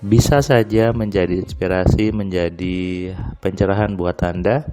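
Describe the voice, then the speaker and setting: Man, deep low voice, mellow tone, slightly nasal, relaxed diary talk, faint music behind. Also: measured pace. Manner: relaxed, rambling with frequent fillers. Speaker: motivational audio diarist. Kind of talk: casual spoken diary monologue. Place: house terrace at night.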